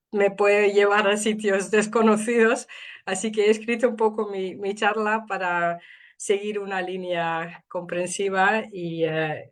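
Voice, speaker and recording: A middle-aged woman with a Dutch accent, Spanish not her first language, giving an academic talk carefully with frequent fillers and restarts. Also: medium pitch. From Netherlands. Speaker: invited speaker.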